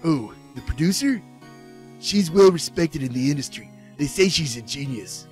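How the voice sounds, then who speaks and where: A man's voice: on the high side, expressive, normal speed, darkish tone, lightly acted. A man voicing a meek, earnest, hesitant actor character in an amateur game fandub. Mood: informative. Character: meek, earnest male action-show actor, gentle and unsure. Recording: music faintly behind the voice.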